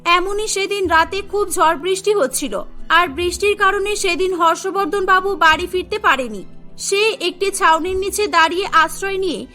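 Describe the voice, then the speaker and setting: A woman narrates a cartoon story in a very high, bright voice. Her delivery is scripted storytelling, with music playing underneath. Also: normal speed. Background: background music.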